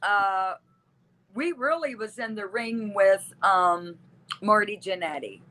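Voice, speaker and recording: West Virginia woman with mid-pitched voice. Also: expressive, takes it slowly, sharp tone. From West Virginia, United States. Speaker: interview guest, wrestling manager. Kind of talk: casual conversation.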